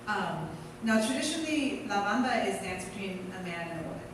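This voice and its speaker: A woman's voice: medium pitch, very animated, fairly quick. Woman panelist in a reverberant hall. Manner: explanatory, with many restarts and fillers. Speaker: panelist, dancer. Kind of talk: live panel discussion. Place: theater stage. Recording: echoey hall, distant mic, noise.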